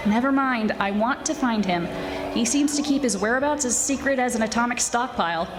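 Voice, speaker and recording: A woman playing a brash, wisecracking young private detective in a 1940s-style radio drama, sassy and quick-witted. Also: a little high, natural voice. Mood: insistent. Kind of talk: 1940s radio detective acting, dialogue and narration. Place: live stage performance of a radio play.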